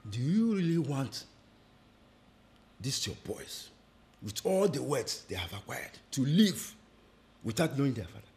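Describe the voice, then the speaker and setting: A man's voice: mid-range voice, big pitch swings, measured pace, long pauses, a little mellow, slight background noise. A man playing someone who summons a spirit and questions her, pleading and insistent.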